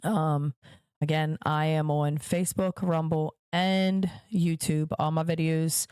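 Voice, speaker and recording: A woman's voice: sits low, fairly even intonation, slow, darkish tone, clear, resonant tone, dry recording, clean studio sound. Emphatic woman hosting a solo podcast. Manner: emphatic, repeats points for stress; direct address to viewers. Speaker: podcast host. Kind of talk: solo political commentary podcast.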